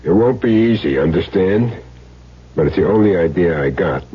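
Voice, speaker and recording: Husky, deep-voiced hitman. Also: animated. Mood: grim, calculating. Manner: measured, blunt, streetwise. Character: tough, world-weary male hired hitman with a soft spot. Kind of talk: 1970s radio drama acting. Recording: muffled old radio recording.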